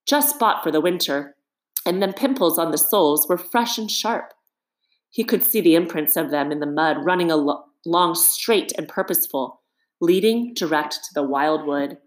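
A woman reading a children's story aloud as expressive scripted narration. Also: on the low side. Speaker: storybook reader. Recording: roomy, echoing sound.

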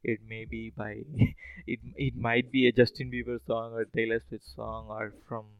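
A man's voice: mid-range voice, little pitch movement, moderate pace, clean tone, no echo, highs cut off. A man hosting a podcast solo, talking casually in a rambling, hesitant, informal way. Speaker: podcast host.

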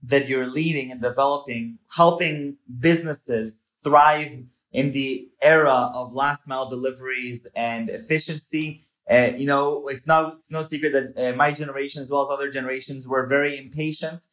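A man's voice: medium pitch, fairly even intonation, moderate pace, few pauses, a bit bright. A man hosting a podcast, reading a scripted introduction and then asking the guest questions with emphatic enthusiasm. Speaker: podcast host.

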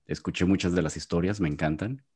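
Deep-voiced Mexican man chatting. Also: fast, a little mellow, husky. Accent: Mexican Spanish, Jalisco. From Guadalajara, Mexico. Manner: talkative, restarts words often. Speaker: podcast guest. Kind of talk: casual interview chat. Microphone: video call.

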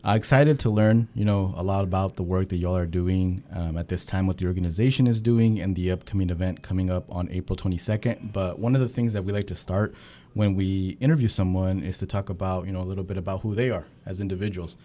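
A man's voice: low-pitched, fairly even intonation, fast talker, warm tone. A man hosting a podcast, interviewing guests in an easygoing, casual way and often restarting his phrases. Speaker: podcast host.

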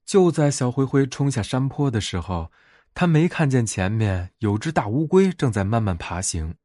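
A man narrating a bedtime fairy tale as a storyteller, in an expressive scripted read. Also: medium pitch, moderate pace, no echo, clean studio sound.

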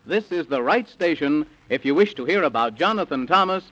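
A man's voice: fairly high pitch, animated, fairly quick, very noisy, close to an everyday voice. A man narrating a rhyming children's bedtime story in theatrical, sing-song 1930s radio style, on an old recording. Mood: eager storytelling. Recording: dull, band-limited 1930s radio recording.

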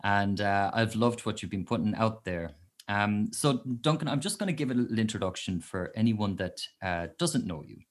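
A man's voice: on the low side, expressive. A man hosting a singing podcast, introducing his guest in a casual, hesitant way full of "um"s. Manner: hesitant, lots of "um", quick laughs. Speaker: podcast host.

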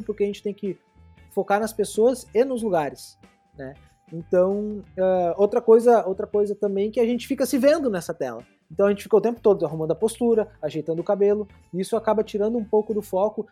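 A woman's voice: medium pitch, dark tone, breathy edge. A woman co-hosting a podcast, explaining things in a rambling, casual way with many restarts and tag questions. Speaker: podcast co-host. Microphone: live streamed podcast.

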